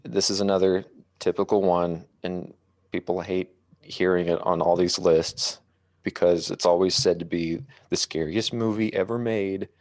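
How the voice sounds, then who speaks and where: A man with a very low voice, recorded dry and close, talks through movies as a podcast panelist. He is measured and thoughtful, often pausing to find his next phrase. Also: steady tone, a little mellow, no echo. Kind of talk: casual film discussion.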